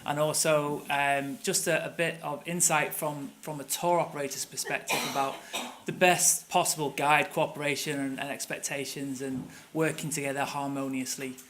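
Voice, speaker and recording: A man's voice: on the high side, flat delivery, moderate pace, few pauses, a bit bright, slight background noise. A middle-aged man with a Manchester accent giving a conversational conference presentation, with many fillers and restarts. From England. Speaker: tour operator presenter. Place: conference room.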